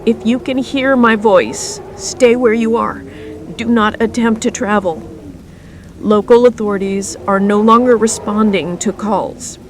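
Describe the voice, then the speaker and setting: A woman playing a weary emergency radio announcer in an apocalypse radio drama, speaking in a grave, deliberate manner. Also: a little high, steady tone, a little hiss or hum, close to an everyday voice. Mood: grave, steady. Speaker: radio news broadcaster. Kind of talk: scripted radio drama broadcast.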